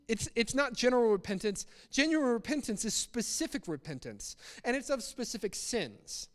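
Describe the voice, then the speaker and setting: A man delivers a sermon in a notably high voice, with long reverb trailing his words. He talks conversationally yet with emphasis, restating phrases to drive each one in. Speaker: preacher. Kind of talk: sermon with acted-out example quotes.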